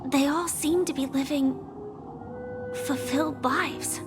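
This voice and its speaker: High-voiced, earnest young investigator. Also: female voice, fairly even intonation, slow. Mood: puzzled, doubtful. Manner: hesitant, questioning. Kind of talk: game cutscene dialogue. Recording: music behind the voice.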